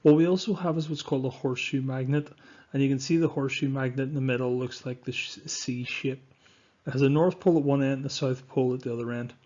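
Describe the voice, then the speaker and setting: A man narrating a lesson as a science teacher, speaking in an instructive, deliberate way with emphatic stresses, on a dull recording. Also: medium pitch, fairly even intonation, dark tone. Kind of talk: scripted lesson narration over slides.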